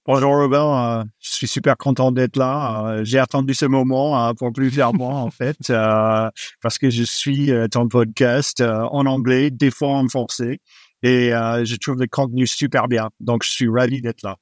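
A middle-aged man with a foreign accent, not a native French speaker, talking as a guest in an interview and often pausing with "euh". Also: medium pitch, steady tone, no echo, clean studio sound. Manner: frequent hesitations with "euh", warm laughs. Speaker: guest, executive recruiter. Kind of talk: relaxed interview conversation.

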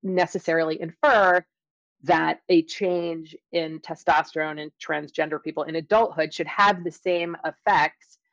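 Female scientist guest, fairly low with vocal fry. Measured, qualifying explanations in conversation, slightly muffled recording. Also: fairly even intonation, a bit bright, dry recording. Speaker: academic guest, science author. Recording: dull, slightly lo-fi dry audio.

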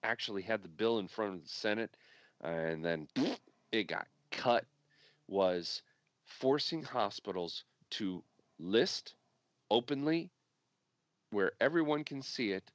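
Low-voiced man, recovering, emphatic. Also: lively intonation, slow pace, pauses often, no room sound. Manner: emphatic, repeats key points. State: recovering from illness, deep voice. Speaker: podcast producer and co-host. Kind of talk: casual political chat.

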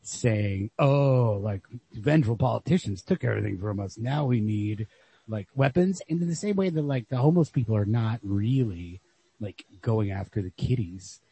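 A man co-hosting a podcast, talking casually and analytically about literature in a wry, husky voice. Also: on the low side, lively intonation, normal speed, dark tone. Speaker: podcast co-host. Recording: lo-fi, dry close recording.

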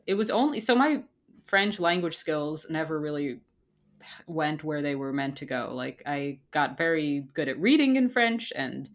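A woman's voice: low-pitched, sing-song, measured pace. A woman co-hosting a podcast in casual chat, playful and ironic, rambling with fillers and laughs. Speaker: podcast co-host.

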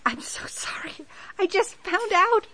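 A woman's voice: very high-pitched, big pitch swings, unhurried, pushed and bright, a little hiss or hum, full character voice, poor audio. A woman playing a flustered, sardonic young woman on a date in a romantic comedy audio play, stammering, apologetic and dry. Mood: panicked, apologetic.

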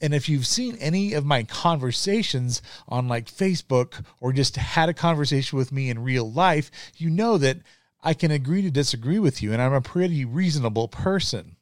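A man's voice: mid-range voice, lively intonation, moderate pace, dry recording, studio quality. A middle-aged man hosting his own podcast, talking earnestly in a casual monologue with frequent ums and restarts. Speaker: podcast host.

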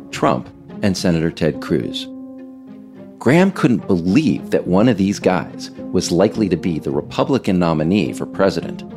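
A man hosting a podcast series, reading scripted narration in a measured, emphatic, explanatory way. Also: low-pitched. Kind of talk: scripted narration, audio essay read aloud.

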